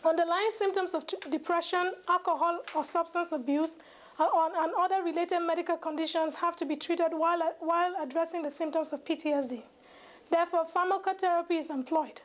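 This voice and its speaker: Young woman, really high voice, reading out a lesson kinda formal n careful, stumbles now and then. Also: fairly even intonation, a little fast. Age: young adult. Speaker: medical student presenter. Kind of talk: scripted educational presentation.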